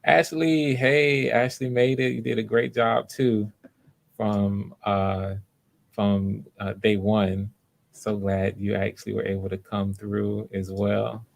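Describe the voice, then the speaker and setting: Low-key, even-toned male livestream host. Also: slow pace, gravelly. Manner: encouraging, appreciative. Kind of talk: casual livestream chat.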